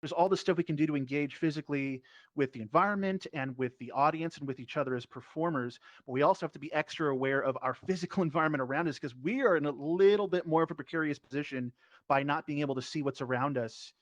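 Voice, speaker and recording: A man's voice: on the high side, fast talker, no room sound. A man teaching performance, speaking reflectively and explaining his thoughts in a casual panel talk over a VR headset microphone.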